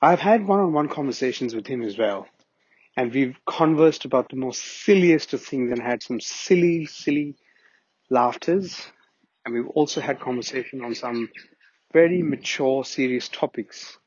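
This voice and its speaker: A man's voice: mid-range voice, lively intonation, moderate pace, darkish tone, husky, dry recording. A middle-aged man giving an earnest, reminiscing memorial tribute as a family friend, often restarting his sentences. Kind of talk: personal spoken tribute. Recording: dull, muffled audio.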